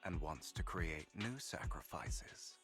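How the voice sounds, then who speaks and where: Video game schemer: suave, formal, theatrical, animated intonation over clear background music. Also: male voice, extremely low pitch, exaggerated voice. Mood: grave, explanatory. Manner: measured, formal, courtly. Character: suave, theatrical man, calm scheming trickster. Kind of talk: video game dialogue acting. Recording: music behind the voice.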